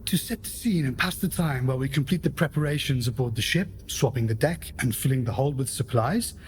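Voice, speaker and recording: A man's voice: mid-range voice, a little fast, a little hiss or hum. A man narrating and hosting a radio play in a formal, theatrical storyteller's manner. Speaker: host and narrator. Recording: music behind the voice.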